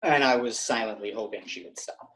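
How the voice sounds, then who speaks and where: A woman reading a deadpan teenage boy. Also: rumbling low, a little fast, poor audio. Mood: dry. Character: wry teenage boy friend.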